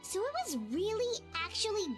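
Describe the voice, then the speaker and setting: Squeaky little fairy companion. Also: female voice, animated, normal speed, music in the background. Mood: surprised, curious. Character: tiny floating fairy companion, childlike, blunt and excitable.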